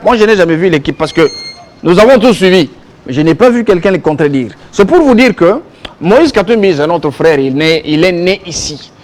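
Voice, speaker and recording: A man with a Congolese French accent speaks as a political guest in a televised debate. His voice is notably high for a man. He argues insistently, stressing and repeating his points. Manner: insistent, argumentative, emphatic, repeating himself. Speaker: political guest, youth league president. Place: television studio.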